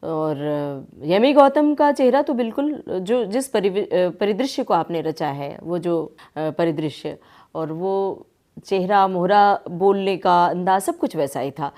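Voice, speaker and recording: A woman's voice: fairly low pitch, lively intonation, slow, a little mellow. A woman hosting a conversational radio interview, asking flowing, formally worded questions in an attentive manner.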